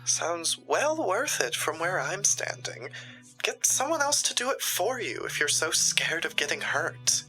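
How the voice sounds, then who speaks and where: Woman acting haughty, slow-talking sardonic shopkeeper, swooping pitch. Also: very animated, bright, forceful tone, faint music behind, full character voice, low-quality recording. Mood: cold, scornful. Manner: condescending, theatrical, scolding. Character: haughty, sardonic occult shopkeeper, ruthless and theatrical. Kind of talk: dramatic audio roleplay acting.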